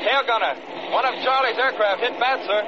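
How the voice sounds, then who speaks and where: A man's voice: very high voice, a little fast. A man playing a tense, dutiful bomber crewman giving clipped reports in an old radio drama. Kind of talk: mid-century radio drama acting. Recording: muffled old radio, aircraft noise.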